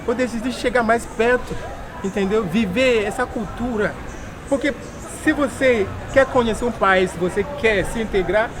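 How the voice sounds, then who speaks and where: A man with a high-pitched voice makes his point earnestly and with emphasis. He is interviewed outdoors, with a crowd and street sounds clearly audible behind him. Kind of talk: documentary interview.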